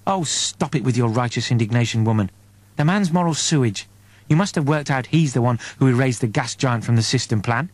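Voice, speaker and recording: A man's voice: mid-range voice, a little fast, darkish tone, no echo, close to an everyday voice. Narrator doing a fed up spaceship crewman, bitter and sarcastic, crude, dry audiobook sound. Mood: exasperated. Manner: scathing, sardonic.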